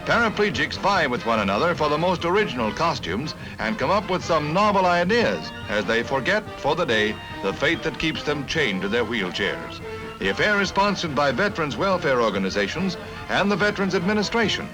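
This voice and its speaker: High-pitched, animated American newsreel man. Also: a little fast, poor audio. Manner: dramatic, punchy announcer delivery. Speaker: newsreel narrator. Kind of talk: 1950s newsreel narration, scripted. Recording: vintage 1950s newsreel soundtrack. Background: music.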